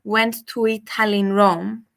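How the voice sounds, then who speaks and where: A woman's voice: medium pitch, little pitch movement, a little slow, gravelly. A young woman from Cyprus whose first language is Greek, calling in and chatting in English with hesitant restarts and fillers. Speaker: caller. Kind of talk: casual call-in chat.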